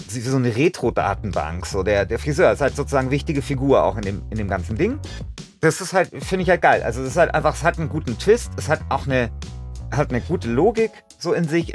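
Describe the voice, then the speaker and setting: A man's voice: medium pitch, animated, a little fast. A man in casual brainstorming chat as a podcast co-host, colloquial and emphatic as he thinks aloud. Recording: music behind the talk. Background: music.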